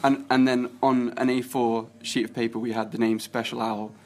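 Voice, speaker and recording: A man's voice: medium pitch, little pitch movement, normal speed. Rambling young man, hesitant and chatty. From England. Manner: rambling, hesitant, laughs easily. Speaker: guest filmmaker. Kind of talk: casual chat.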